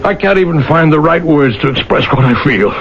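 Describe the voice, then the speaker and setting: Halting, earnest young man. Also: on the high side, expressive. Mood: nervous, unsure. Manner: halting, hesitant. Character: earnest, awkward, timid young man, apologetic and insecure. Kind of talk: radio drama acting. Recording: muffled old radio recording.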